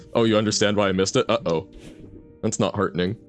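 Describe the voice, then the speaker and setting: Animated, low-voiced man chatting while streaming a game. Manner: rambling, self-reflective, laughs often; reads and answers chat. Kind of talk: casual gameplay commentary.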